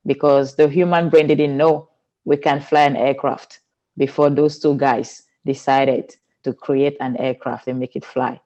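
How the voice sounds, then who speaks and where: A woman's voice: deep voice, warm tone, lo-fi sound. Woman from cameroon w/ accent, earnest guest, talks in long flowing runs, a little unusual phrasing. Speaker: guest, spiritual teacher. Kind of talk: podcast interview.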